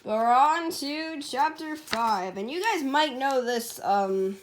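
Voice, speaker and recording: A woman with a fairly high voice tells a story in an amateur, informal style. She corrects herself as she reads and drops in casual asides. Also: animated, slow, slight background noise. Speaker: storyteller and writer.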